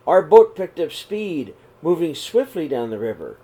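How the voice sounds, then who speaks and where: A middle-aged man reading a children's picture book aloud in a small room, slow and expressive. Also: on the high side, husky. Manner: reads slowly and expressively, voices the characters' calls. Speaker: storybook reader. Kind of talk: read-aloud storytelling for children.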